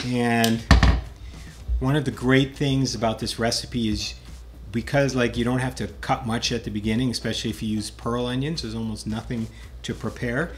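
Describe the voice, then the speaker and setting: A man in his fifties hosting a cooking show, calmly explaining recipes step by step in a home kitchen. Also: medium pitch, normal speed, background noise. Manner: friendly, explanatory, step-by-step instructions. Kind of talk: casual cooking demonstration.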